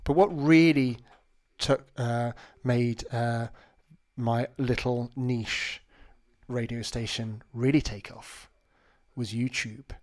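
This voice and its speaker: A man's voice: mid-range voice, steady tone, takes it slowly, darkish tone. A man, talking casually and explaining things to listeners as the host of an online show. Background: backing music.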